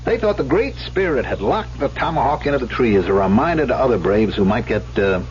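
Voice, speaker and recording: An actor playing a confident, teasing suitor in an old-time radio drama, folksy and assured. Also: male voice, mid-range voice, animated, a little fast, natural voice. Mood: teasing, storytelling. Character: confident, teasing male suitor spinning tall tales. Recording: dull, noisy old radio recording.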